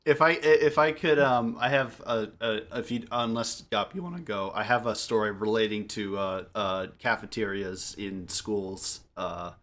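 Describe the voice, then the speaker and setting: A young man chatting casually on a group podcast, telling stories in a halting, rambling way and often repeating his phrases. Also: lowish voice, a bit bright, a little hiss or hum. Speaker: podcast member. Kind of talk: casual group chat storytelling.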